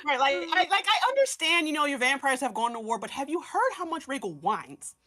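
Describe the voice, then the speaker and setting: Woman, bright higher voice, casual animated fan talk, slips into acted-out asides. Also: fairly quick. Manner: animated, acts out characters' imagined remarks. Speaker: podcast guest discussing an audio drama.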